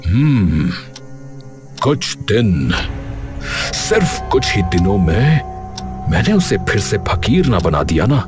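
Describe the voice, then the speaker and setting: A man voicing a scheming, greedy village headman in a cartoon, talking slowly with menace. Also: lowish voice, big pitch swings, over music. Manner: slow, menacing, drawn-out villain delivery. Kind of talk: cartoon voice acting.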